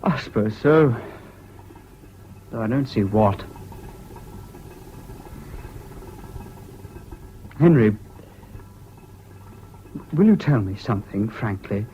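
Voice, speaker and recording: An actor in a British radio drama playing a troubled, excitable, self-doubting young man with an English accent and an earnest manner. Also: fairly low pitch, takes it slowly, pauses often. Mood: dejected, self-doubting. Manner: hesitant, earnest, swinging between gloom and giddy excitement. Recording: dull, hissy old radio recording.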